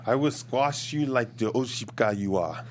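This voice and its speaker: A man's voice: mid-range voice, normal speed, slight background noise, natural voice. A man playing a hulking, menacing thug at a script read, speaking in blunt broken English. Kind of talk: live script table read.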